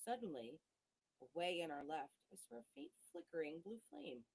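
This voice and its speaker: A woman reading a gothic novel aloud as an audiobook, in a dramatic, measured storytelling manner with expressive pauses. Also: on the low side, creaky, breathy edge, rough recording. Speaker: audiobook reader.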